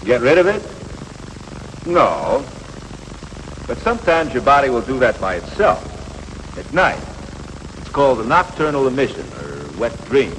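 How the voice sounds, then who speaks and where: A man's voice: medium pitch, sing-song, measured pace, long pauses, fairly bright tone. An actor playing a patient, matter-of-fact instructor of boys in a 1950s educational film, an American man who explains things plainly and reassuringly. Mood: frank, reassuring. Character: patient, matter-of-fact male coach teaching adolescent boys. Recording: noisy, reverberant 1950s film soundtrack.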